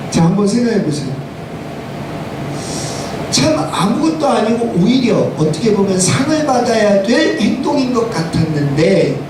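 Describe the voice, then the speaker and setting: Male pastor for a church sermon: hoarse, insistent, questioning the congregation, heard from far off over clear noise. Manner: emphatic, asks the congregation rhetorical questions. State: hoarse from a cold. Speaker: senior pastor. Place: church sanctuary, preaching to congregation. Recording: echoey, distant, noisy room with rumble.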